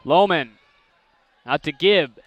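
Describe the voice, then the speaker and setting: A young man calling basketball play-by-play, with rapid calls that climb sharply on big plays. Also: a little high, dry recording, lo-fi sound, a faint crowd. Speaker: play-by-play announcer. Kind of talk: live basketball play-by-play commentary.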